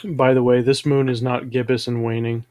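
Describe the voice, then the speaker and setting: A man's voice: mid-range voice, flat delivery, moderate pace, darkish tone, no echo. A man hosting a review, chatting casually and giving commentary. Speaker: host reviewing animated audio dramas.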